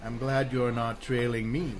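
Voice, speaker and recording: An actor playing a wry, teasing male gentleman of leisure, in 1930s-style radio drama acting. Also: medium pitch, little pitch movement, unhurried, lightly acted. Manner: dry, teasing, urbane.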